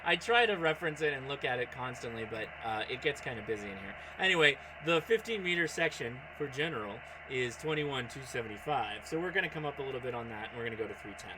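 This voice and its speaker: A man's voice: mid-range voice, animated, fast talker, few pauses, background noise. A man chatting casually on a livestream as he operates a radio, thinking aloud in a distracted way. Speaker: amateur radio streamer.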